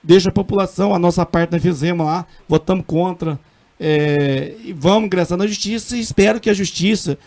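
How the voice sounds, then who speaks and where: A man's voice: sits high, fairly quick, a little mellow. A middle-aged man with a Minas Gerais accent, a city councilman speaking earnestly and emphatically in a radio interview, often restarting his sentences. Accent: Minas Gerais Portuguese. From Brazil.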